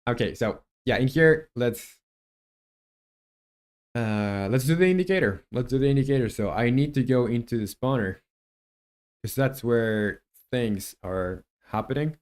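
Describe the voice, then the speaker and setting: A man's voice: mid-range voice, unhurried, a little mellow. A man who develops a game on a live stream, muses aloud while he codes and chats with viewers. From Chile.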